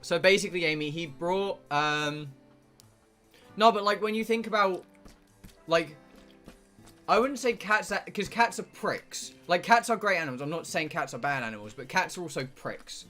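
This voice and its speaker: A man's voice: sits high, normal speed, bright, forceful tone, faint music behind. A man from England with a southern English accent, chatting casually and bluntly on a livestream, rambling and swearing freely. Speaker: game streamer.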